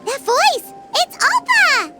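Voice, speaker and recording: A woman voicing a tiny, childlike and excitable fairy companion in a video game, squeaky and exclamatory. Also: slow, heavily acted. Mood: curious, surprised. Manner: squeaky, exclamatory, wide-eyed. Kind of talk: video game character acting. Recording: loud music behind the voice.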